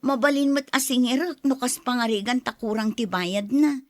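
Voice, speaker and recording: A woman's voice: on the high side, moderate pace, dry recording, natural voice. A woman with an Ilocano accent playing a patient, apologetic younger sister in a family drama, speaking in a conciliatory way. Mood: pleading. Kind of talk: radio-style family drama acting.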